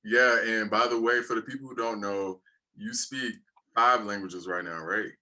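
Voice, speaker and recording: A young man interviewing a guest, talking in a relaxed, rambling, colloquial way. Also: lowish voice, normal speed. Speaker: YouTube interviewer. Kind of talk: casual interview.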